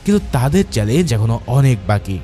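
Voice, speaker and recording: A man's voice: mid-range voice, sing-song, fast, warm tone. A man narrating a movie recap from a script in a casual, dramatic storytelling way, addressing viewers informally. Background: background music.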